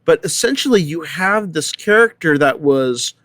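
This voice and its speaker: A man's voice: fairly high pitch, expressive, moderate pace, a bit bright, dry recording, clean studio sound. A man in a casual, analytical discussion, talking conversationally and often checking that listeners follow. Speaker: panelist.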